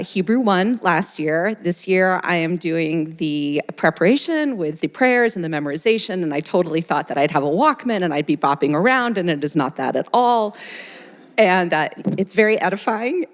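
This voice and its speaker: A woman in her forties introducing herself on a live panel, wry and self-deprecating, laughing lightly. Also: on the low side. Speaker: author, panelist. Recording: hall reverb from a live event space.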